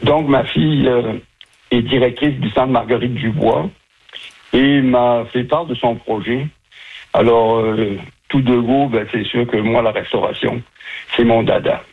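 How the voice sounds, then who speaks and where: A man's voice: mid-range voice, fairly even intonation, unhurried, creaky, slightly rough. A man in his seventies from southern France, a retired chef chatting and telling stories as an interview guest over the telephone. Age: 70s. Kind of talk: casual interview answers. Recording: muffled, narrow-band phone line.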